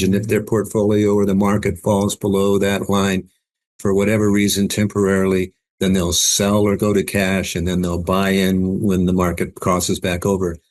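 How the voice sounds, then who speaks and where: A middle-aged man talking as a podcast guest. He speaks in a measured way and repeats words as he starts a thought. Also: deep voice, darkish tone. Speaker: chief investment officer, guest. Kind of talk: podcast interview answer.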